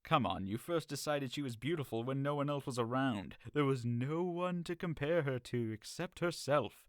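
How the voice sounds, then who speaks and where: A man playing a teasing, persuasive young friend with an animated manner in an audio drama. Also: medium pitch, moderate pace, no room sound, close to an everyday voice. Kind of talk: audio drama acting of modernized Shakespeare.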